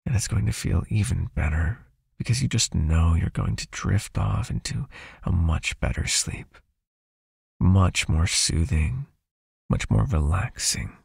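A man voicing a possessive, soothing vampire hypnotist in an ASMR roleplay, with a hushed, coaxing manner and a raspy, creaky, breathy voice. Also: deep voice, big pitch swings, unhurried, no room sound, clean studio sound, exaggerated voice. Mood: soothing, commanding. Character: possessive soothing male vampire hypnotist, controlling yet caring.

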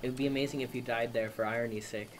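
A young man commenting while his friend plays, chatty and teasing, often laughing. His voice sits at a middle pitch. A faint background hiss runs under it. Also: fairly even intonation, rapid-fire. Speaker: co-player commentator. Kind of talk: casual let's play chat.

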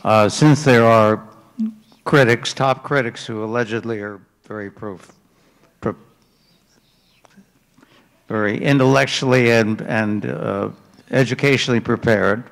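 A man from the audience asking a question, halting with many fillers. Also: medium pitch, creaky. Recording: hall reverb, handheld mic.

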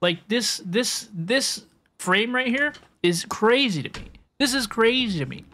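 A woman's voice: fairly high pitch, expressive, unhurried, a bit bright. A woman live-streaming a video game, chatting casually and repeating words for emphasis.

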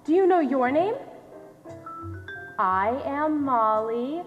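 A young American woman playing a dry-witted, protective scientist on stage, projecting her lines in a measured, wry way. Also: high voice, animated, highs cut off. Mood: patient, reassuring. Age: young adult. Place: theater stage, distant camera. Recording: reverberant stage hall, music behind.

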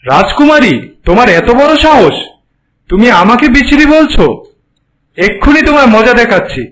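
Cartoon royal suitor in butterfly disguise, male actor: high voice, wide swings, proud and pleading. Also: sing-song, moderate pace, fairly bright tone, heavily acted, low-quality recording. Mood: offended. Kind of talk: cartoon voice acting.

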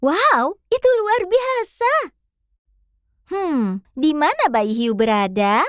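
A woman narrates a children's cartoon in a high, very lively voice. She speaks slowly in a sing-song way, as if guiding small children through a game. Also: very noisy. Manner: sing-song, addressing young viewers. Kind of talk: scripted narration for young children.

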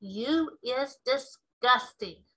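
A woman's voice: high voice, expressive, very slow, sharp tone, exaggerated voice. A woman voicing a kindly, earnest male giant with emphatic, dignified speech in a storybook read-aloud. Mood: indignant.

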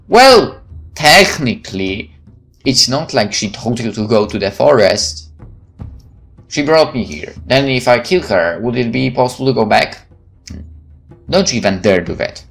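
A man playing a visual novel, reading it aloud and adding casual, playful asides. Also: medium pitch. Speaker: gameplay streamer.

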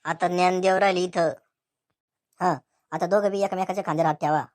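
A woman's voice: on the low side, steady tone, a little fast, natural voice. A woman voicing a cheeky, mocking young male wedding photographer in a cartoon comedy, bossy and sarcastic, with a rural Maharashtrian accent. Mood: teasing, bossy.

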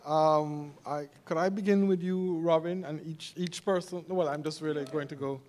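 A man moderating a panel, introducing it informally in halting phrases. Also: fairly high pitch, steady tone, a little mellow. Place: theater stage, indoors.

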